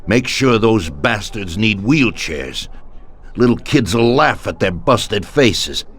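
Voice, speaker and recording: Low-voiced, ruthless mafia don. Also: male voice, animated, slow. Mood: cold, menacing. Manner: authoritative, indignant, menacing. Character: commanding, ruthless older male mafia don. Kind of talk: video game cutscene acting.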